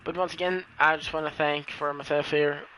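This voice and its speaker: A man's voice: fairly high pitch, little pitch movement, fairly quick, pushed and bright, a little hiss or hum, poor audio. A man with a Norwegian accent, a second-language English speaker, doing solo live commentary of a sim race, hesitant with many fillers and self-corrections.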